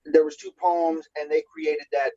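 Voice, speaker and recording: A man co-hosting a podcast, asking a question haltingly with many filler sounds. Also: fairly high pitch, level tone, dark tone, no room sound. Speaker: podcast co-host. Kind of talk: casual podcast conversation. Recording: dry, dull lo-fi sound.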